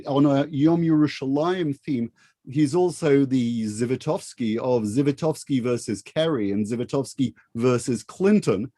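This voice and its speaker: Man introducing a guest, dry mellow voice. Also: mid-range voice, no echo. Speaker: host.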